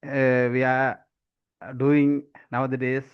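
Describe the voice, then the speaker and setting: A Sri man in his forties giving a deliberate, halting impromptu speech with many fillers. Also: mid-range voice, completely flat delivery, clean tone. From Sri Lanka. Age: 40s. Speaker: impromptu speaker. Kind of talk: impromptu table topics speech. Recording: dull lo-fi audio.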